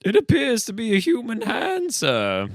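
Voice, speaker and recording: Man putting on a grandiose high-pitched Gothic English officer. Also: huge pitch swings, measured pace, no room sound, clean studio sound, heavily acted. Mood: grandiose, ominous. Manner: grandiose, theatrical.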